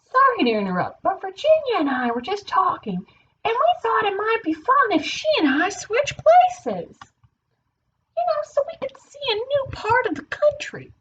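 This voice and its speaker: A woman's voice: extremely high pitch, wildly swooping pitch, normal speed, a bit bright, muffled recording, slight background noise, voice pushed up, full character voice. A woman voicing a very polite, eager storybook character who speaks apologetically. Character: very polite male US state at a party.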